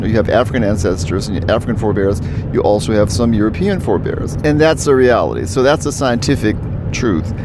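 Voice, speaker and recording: A man from South Carolina, a podcast guest, talking in a measured, reflective way with frequent fillers. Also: medium pitch, background noise. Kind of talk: thoughtful podcast interview.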